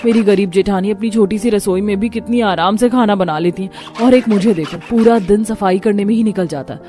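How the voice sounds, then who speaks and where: A woman's voice: medium pitch, a little fast, mellow, natural voice. A woman playing a fretful, competitive rich younger daughter-in-law in a cartoon family story. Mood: exasperated. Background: background music.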